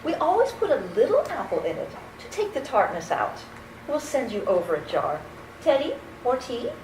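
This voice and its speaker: A woman's voice: sits high, very animated, moderate pace, darkish tone. A woman playing a sweet, daffy elderly aunt in a radio comedy, genteel, fussy and chirpy. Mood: genteel, chatty. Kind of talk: old-time radio comedy acting. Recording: roomy stage recording with faint noise.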